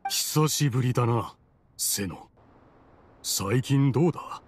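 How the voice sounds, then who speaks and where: A man's voice: medium pitch, slow pace, slightly rough. An actor playing a weary, remorseful old man in a Japanese game, speaking in a reflective, resigned manner. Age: older.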